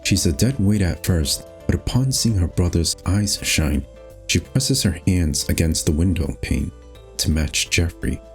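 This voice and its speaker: A man with a low voice narrates over faint music. He reads a visual novel game aloud as scripted narration. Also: unhurried.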